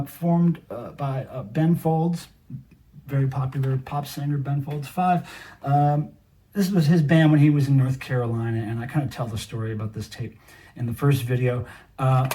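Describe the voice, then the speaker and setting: A man's voice: medium pitch, a little mellow. Middle-aged man, casual show-and-tell host, enthusiastic fan manner, mid-range voice, speaking directly to viewers. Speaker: video host, cassette collector.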